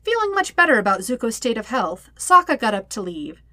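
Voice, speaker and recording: Animated woman narrating a story. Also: fairly high pitch, brisk, no room sound. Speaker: podfic narrator. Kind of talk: expressive scripted story narration.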